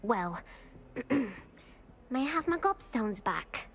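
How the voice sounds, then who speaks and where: A woman playing an excitable, proud and competitive teenage schoolgirl witch in a video game, with a British accent and a gushing, theatrical delivery. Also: on the high side, extremely slow, slight background noise, heavily acted. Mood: hesitant. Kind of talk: video game character dialogue.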